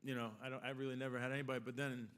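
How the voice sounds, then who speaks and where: Bright-voiced man, rambling speech. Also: mid-range voice, little pitch movement, very fast, clear, resonant tone. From Long Island, New York. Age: 40s. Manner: rambling, joking, heartfelt. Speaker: hall of fame inductee. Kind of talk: acceptance speech.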